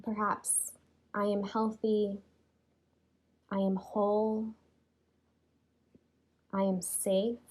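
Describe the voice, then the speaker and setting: A woman leading a guided yoga relaxation in a soothing, unhurried way, leaving long pauses between phrases. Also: medium pitch, level tone, a little mellow, low-quality recording. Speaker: yoga nidra teacher.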